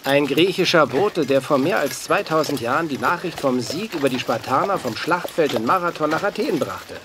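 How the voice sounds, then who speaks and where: An actor in a German audio drama playing a learned, grumbling, anxious companion, speaking in a dry, wordy, mannered way. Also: male voice, a little high, animated, normal speed, noisy background, lightly acted. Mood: lecturing.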